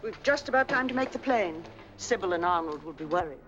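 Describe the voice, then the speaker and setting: Swooping, impatient 1940s film schemer. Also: female voice, on the low side, lively intonation, brisk, fairly bright tone. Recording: dull old film soundtrack with music.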